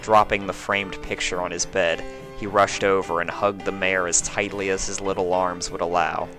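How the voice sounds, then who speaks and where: A man's voice: low voice, little pitch movement, normal speed, music in the background, low-quality recording. A man speaking as a reader of fan fiction stories. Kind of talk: storybook narration and casual sign-off.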